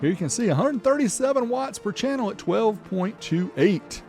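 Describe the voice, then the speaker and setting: A man's voice: high-pitched, animated, normal speed, a little mellow, faint music behind. A man hosting a product test video, explaining each step and reading out results as he demonstrates. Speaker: host of a car audio amplifier test video. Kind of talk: demonstration narration with test readouts.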